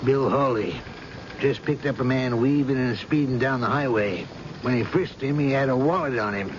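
An actor playing a patient, methodical senior police officer with measured authority in a 1970s radio drama. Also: male voice, medium pitch, natural voice. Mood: businesslike. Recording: muffled, noisy old radio recording.

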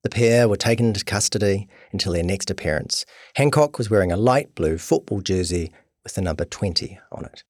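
A man reads scripted documentary narration as a podcast narrator. His voice sits on the low side, and the recording is close and dry. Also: brisk, a little mellow, no room sound.

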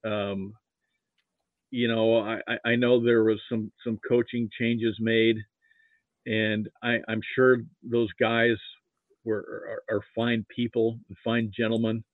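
American male, podcast guest, casual sports talk, halting, opinionated, phone-like dry audio. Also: on the low side, level tone, no echo. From Nebraska, United States. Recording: thin phone-like call audio.

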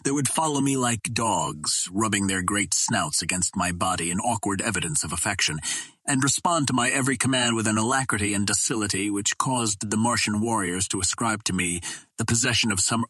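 A man reading a literary adventure story as an audiobook narrator, in a measured, formal storytelling delivery. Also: lowish voice, bright, forceful tone, husky, no room sound.

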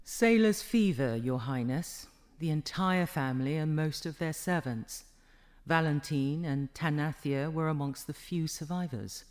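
A man's voice: fairly high pitch, a little slow, darkish tone, lightly acted. A man playing a formal, guarded physician in a fantasy audio drama, careful and deferential. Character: formal, guarded family physician testifying in court.

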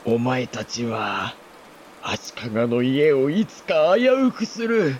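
A man playing a dying older samurai lord, an anguished father speaking halting, archaic lordly Japanese in a historical audio drama. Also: mid-range voice, lo-fi sound, a little hiss or hum, lightly acted. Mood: grave confession.

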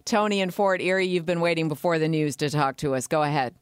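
A woman's voice: low-pitched, fast, clear, resonant tone, dry recording, clean studio sound. A woman with a Canadian accent presenting a radio phone-in as its host. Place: radio studio.

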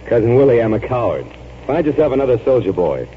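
A man's voice: medium pitch, big pitch swings, quick pace, natural voice. An actor playing a reluctant, cynical newspaperman with a dry wisecracking manner, in 1940s radio style. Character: wry, reluctant young newspaperman, easygoing and cynical. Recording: dull, hissy 1940s radio recording.

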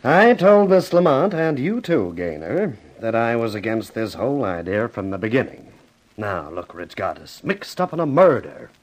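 An actor playing a stuffy, indignant general manager in a 1950s radio drama, formal and disapproving. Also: male voice, medium pitch, big pitch swings, moderate pace, low-quality recording.

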